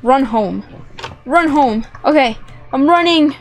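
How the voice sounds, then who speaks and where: A woman's voice: sits high, animated, slow pace. A young woman hosting a playful gameplay video in an exclamatory, giggly manner, often repeating phrases. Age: young adult. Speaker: gaming video host. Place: small indoor room with plush toys. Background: music.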